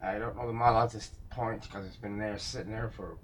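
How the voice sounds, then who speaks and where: A man giving casual, unscripted commentary as he works on a vintage radio, musing aloud in a halting way to himself and his viewers. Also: on the low side, steady tone, a little hiss or hum. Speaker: vintage radio restorer showing a repair.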